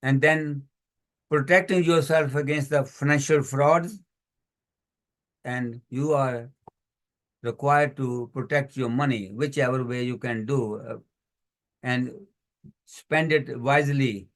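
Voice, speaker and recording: A man's voice: mid-range voice, fairly even intonation, slow, pauses often, a bit bright. A man giving a workshop talk on money over a video call, listing examples one after another in non-native English phrasing. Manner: explains with many lists and examples. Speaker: financial educator. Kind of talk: community workshop presentation.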